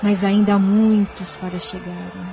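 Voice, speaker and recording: A woman's voice: medium pitch, level tone, normal speed, vocal fry, close to an everyday voice. A woman with a Brazilian accent playing a solemn spirit helper in an audio drama, speaking in a dramatic, declamatory way. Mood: solemn urgency. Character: solemn spirit helper announcing a world's purge. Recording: loud music and crowd behind.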